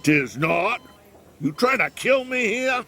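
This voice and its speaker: Grouchy old american bookseller in a radio play, slow drawl of complaints, pitch all over the place. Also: male voice, very animated, pushed and bright, full character voice. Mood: irritated, indignant. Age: older. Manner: grumpy, sardonic, swearing. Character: cantankerous old bookshop owner in a hospital bed. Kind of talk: audio drama acting.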